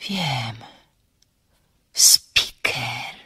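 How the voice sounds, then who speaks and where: Slightly husky, insistent woman sleuth. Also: a bass voice, expressive, pushed and bright, full character voice. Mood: explaining. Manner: brisk, insistent questioning and explaining. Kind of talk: audio drama acting.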